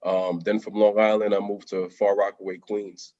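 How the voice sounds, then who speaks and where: A middle-aged man from Jamaica speaks in a very low voice, candid and unhurried, clearing his throat now and then. The recording is dry and slightly dull. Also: level tone, dry recording. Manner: soft-spoken, unhurried, frequent ums and throat clearing. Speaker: guest, contractor. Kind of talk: candid life-story interview.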